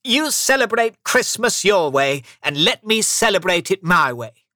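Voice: mid-range voice, sing-song, unhurried, pushed and bright, no echo, studio quality, voice pushed up. A narrator voicing a grumpy, miserly old businessman from a storybook, snapping scornfully and emphatically. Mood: angry, indignant.

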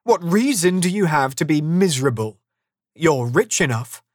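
A narrator voicing a cheerful, warm-hearted young nephew in a storybook, speaking earnestly and good-naturedly. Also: mid-range voice, animated, measured pace, no echo, clean studio sound, pitched above the performer's own voice, lightly acted. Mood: cheerful pleading.

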